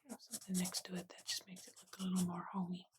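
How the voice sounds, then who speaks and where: A woman whispering gently and unhurriedly in a breathy, soothing ASMR style as she narrates her drawing. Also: on the low side, flat delivery, racing. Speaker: ASMR artist and host. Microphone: USB desktop microphone. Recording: noisy close mic, clicks and writing.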